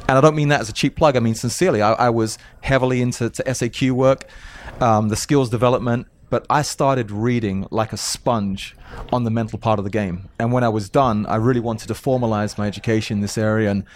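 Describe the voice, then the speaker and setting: A man's voice: medium pitch, steady tone, brisk. A man from South London with a light London English accent, speaking earnestly as a coach interviewed on a podcast, often repeating his first words. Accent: South London English, softened by years in America. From South London, England. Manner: earnest, repeats words when starting sentences. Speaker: mental toughness coach, guest.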